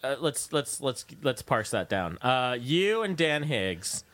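A man hosting a casual conversational interview, thinking aloud haltingly and putting in follow-up questions. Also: medium pitch, big pitch swings, a bit bright, no room sound. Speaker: radio show host interviewing a guest.

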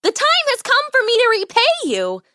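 A woman voicing a tiny, cheerful, boastful storybook mouse with a squeaky, singsong voice. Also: huge pitch swings, normal speed, bright, forceful tone, dry recording, studio quality, exaggerated voice. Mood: confident, helpful. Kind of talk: children's storybook character voice.

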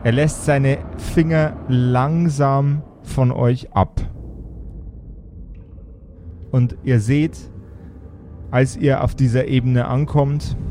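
A man's voice: mid-range voice, dark tone. Theatrical male game master with mid-range voice. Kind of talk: improvised narration of a fantasy tabletop game.

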